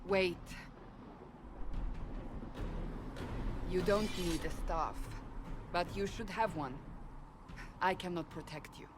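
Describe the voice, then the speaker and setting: A woman's voice: on the low side, measured pace, music in the background, natural voice. A woman playing a stern, commanding warrior in a fantasy video game, speaking curtly and with authority. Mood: reluctant, terse. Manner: curt, authoritative.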